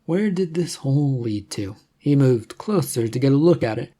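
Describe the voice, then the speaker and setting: Soft-edged storyteller reading an adventure audiobook, dark relaxed tone, bit of husk. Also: mid-range voice, moderate pace. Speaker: audiobook narrator. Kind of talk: scripted story narration.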